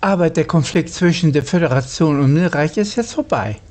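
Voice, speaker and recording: A woman's voice: low voice, animated, a little fast, a little mellow. A German woman playing a game and reading its dialogue aloud in character.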